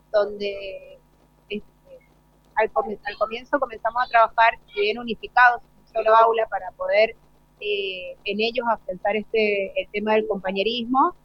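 A schoolteacher, a woman with an Argentine accent, talks in a live interview. Her medium-pitched voice moves in halting, hesitant phrases, and the sound is slightly dull and lo-fi. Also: no room sound.

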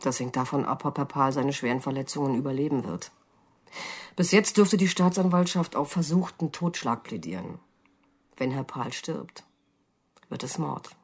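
A deep, slightly breathy-rough magistrate in a radio crime drama. She questions with precise, cool insistence and open scepticism. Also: lively intonation, normal speed, full character voice. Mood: cool, matter-of-fact. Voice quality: low, husky. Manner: precise, insistent, coolly interrogating. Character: probing, sceptical female examining magistrate. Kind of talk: naturalistic German radio crime drama acting.

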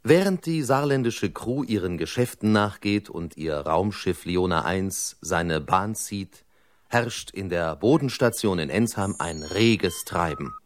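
Deep-voiced straight-faced radio narrator. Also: male voice, expressive, measured pace, faint music behind. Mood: matter-of-fact. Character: straight-faced male reporter-style narrator. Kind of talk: scripted narration in a radio comedy.

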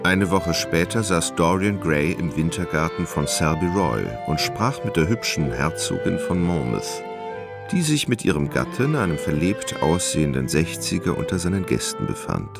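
Very deep, gravelly male narrator. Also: very animated, normal speed, over loud music. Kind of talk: scripted literary narration in radio drama.

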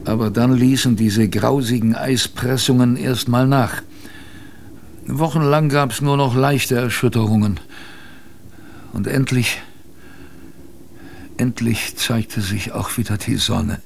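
Radio drama narrator: young polar crewman, storytelling in measured phrases, gravelly edge, audible background noise. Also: medium pitch, steady tone. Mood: grave, dramatic. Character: young male ship's crewman recounting a polar expedition. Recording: clear background noise, ambience.

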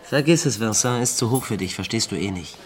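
An actor playing an awkward, loyal young small-time thief in a radio crime drama, defensive and plain-spoken. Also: male voice, fairly low pitch, expressive, fairly quick, natural voice. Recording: music behind the voice.

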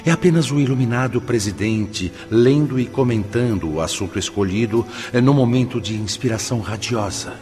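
An actor playing a benevolent, wise spirit mentor in a radio drama, explaining solemnly and patiently. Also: male voice, medium pitch, natural voice. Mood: solemn, instructive. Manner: solemn, didactic, measured explaining. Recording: long cavernous reverb, loud music underneath. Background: music.

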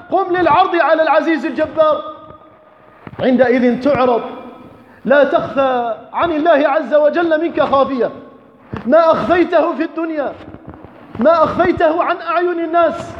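High-pitched male preacher in an echoing hall. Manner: impassioned, rhetorical, exhorting. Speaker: preacher delivering a Friday sermon. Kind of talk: Islamic sermon with storytelling and exhortation. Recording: echoey reverberant hall, dull, faint noise.

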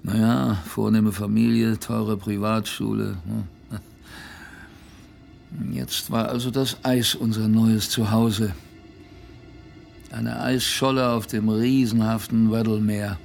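Wry old expedition hand, creaky voice. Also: male voice, fairly low pitch, little pitch movement, slow pace, a little mellow, soft background music, heavily acted. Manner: wry, colloquial, storytelling. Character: former polar expedition sailor wryly recounting his hardships. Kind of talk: conversational radio play narration to a listener.

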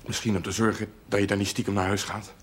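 An actor playing a polite, shy young farmhand turned soldier, speaking quietly and hesitantly in a television period drama. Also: male voice, fairly low pitch, little pitch movement, fast talker, husky. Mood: subdued, polite.

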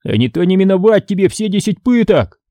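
A man's voice: high voice, lively intonation, slow, darkish tone, dry recording, clean studio sound, voice pushed up, exaggerated voice. A man reading a storybook as a big bullying boy, suspicious, demanding and threatening. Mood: menacing.

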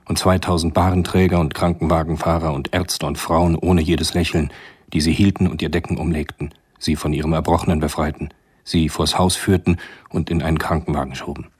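Deep, husky male radio play narrator. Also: little pitch movement, fairly quick, darkish tone.

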